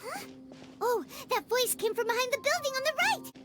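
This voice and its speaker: Extremely squeaky, excitable tiny fairy companion. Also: female voice, normal speed, heavy background noise. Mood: panicked, excited. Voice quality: squeaky, piping, childlike. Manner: exclamatory, speaks of self in third person. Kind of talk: video game voice acting.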